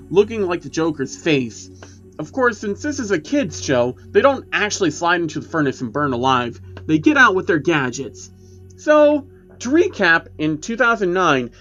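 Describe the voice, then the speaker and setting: A man's voice: a little high, fairly bright tone, highs cut off, pitched above the performer's own voice. A man voicing a chatty male YouTuber who explains creepy cartoon myths emphatically, in online video style.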